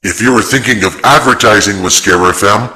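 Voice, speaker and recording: A man reading a station sign-off and ad pitch in a plain, measured way. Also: sharp tone, poor audio. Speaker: station announcer. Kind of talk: read promo.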